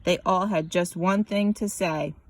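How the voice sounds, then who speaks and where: A woman reads a picture book aloud as scripted narration, animated and drawing out words for emphasis. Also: fairly low pitch, unhurried, no echo. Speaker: children's story reader.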